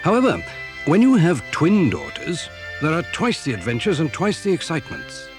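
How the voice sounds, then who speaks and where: A middle-aged British man playing a genial, gently teasing father who tells a children's story with wry, avuncular charm. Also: a little high, huge pitch swings, moderate pace, over music. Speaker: narrator and actor. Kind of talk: dramatised children's story narration.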